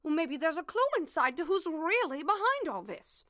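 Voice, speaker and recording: A woman's voice: extremely high pitch, very animated, moderate pace, sharp tone, dry recording, studio quality, exaggerated voice. A woman playing a melodramatic, sweet-talking wife with a violent streak, theatrical, in a comedic audio drama. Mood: alarmed.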